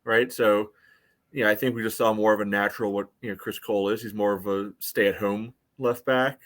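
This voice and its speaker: An American man co-hosting a sports podcast, giving casual game analysis in a measured, conversational way. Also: lowish voice, steady tone, a bit bright. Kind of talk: casual soccer match analysis.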